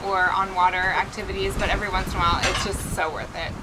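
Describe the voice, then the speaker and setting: A woman's voice: on the low side, fairly even intonation, quick pace, very noisy. A woman co-hosting a sailing vlog, chiming in with upbeat agreement and calling things worth it. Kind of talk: casual chat. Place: aboard a sailboat.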